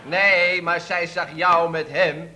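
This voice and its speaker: Naive, defensive nephew, old radio comedy. Higher, bright, forward voice. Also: male voice, steady tone, takes it slowly, slight background noise, heavily acted. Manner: naive, self-justifying. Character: gormless, defensive young nephew of the boss. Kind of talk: 1970s radio comedy acting.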